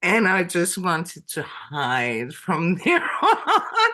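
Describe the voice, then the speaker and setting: Middle-aged french woman guest, unhurried, lowish voice, chatty with laughs and fillers. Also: huge pitch swings, pushed and bright. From France. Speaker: interview guest. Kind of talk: casual storytelling.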